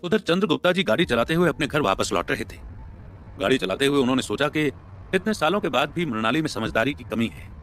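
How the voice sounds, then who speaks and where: A man's voice: mid-range voice, big pitch swings, fast, a bit bright, slight background noise. A man narrating a scripted audio story as a storyteller. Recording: music bed under the voice. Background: background music.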